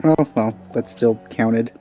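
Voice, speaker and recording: Guy doing chill gameplay commentary, really muffled dark tone, game music under him. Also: medium pitch, slow pace. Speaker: let's play streamer.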